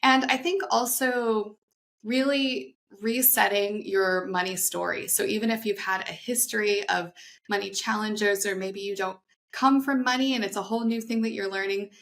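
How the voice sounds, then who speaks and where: A woman's voice: medium pitch, steady tone, moderate pace, a bit bright. A woman hosting a podcast, reading an upbeat scripted intro and then asking her guest questions in an eager, conversational way. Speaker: podcast host.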